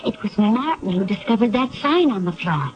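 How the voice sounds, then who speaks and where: A woman's voice: mid-range voice, expressive, normal speed, a little mellow, natural voice. Actress as an anxious, confiding woman, dull radio sound. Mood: uneasy. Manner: earnest, confiding, dramatic. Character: anxious, loyal woman confidante of a troubled household. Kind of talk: 1970s radio drama acting. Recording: dull lo-fi 1970s radio broadcast.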